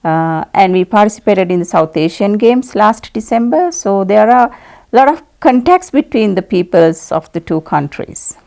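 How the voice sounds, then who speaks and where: Interview guest: woman from Sri Lanka, Sinhala-native, calm and diplomatic, relaxed warm tone, steady mid pitch. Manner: measured, diplomatic, frequent "uh" pauses. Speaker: ambassador being interviewed. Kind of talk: formal interview answers.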